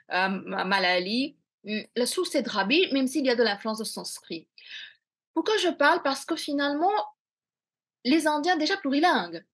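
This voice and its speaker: Husky-voiced Bengali-native woman on a video call. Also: fairly high pitch, a bit bright. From India. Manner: reflective, many fillers and restarts, gesturing. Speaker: author. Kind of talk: interview answers. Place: small room indoors at home.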